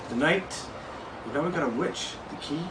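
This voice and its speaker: A young man co-hosting a board game show, laid-back and laughing, narrating his story slowly. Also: a little high. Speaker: co-host. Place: small room indoors. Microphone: far camera mic. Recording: echoey room, distant mic, background noise.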